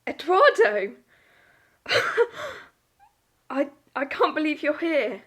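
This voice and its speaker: A woman plays an eager young woman in a wartime audio drama. Her voice is very high and swings widely in pitch, with an affectionate manner. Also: sing-song, slow pace, heavily acted. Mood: delighted. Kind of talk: audio play acting.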